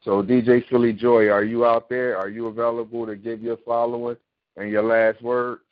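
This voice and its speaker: A man's voice: medium pitch, level tone, normal speed, no room sound. A man hosting a call-in radio talk show, laid-back and slangy as he wraps up the hour. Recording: dry, dull lo-fi audio.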